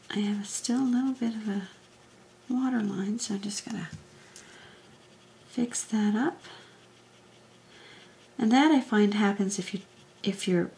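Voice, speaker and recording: Tutorial host, female, slightly hoarse, soft near-whisper delivery, very slow, faint background hiss. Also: mid-range voice. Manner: soft, unhurried, often half-whispered. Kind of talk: casual step-by-step demonstration.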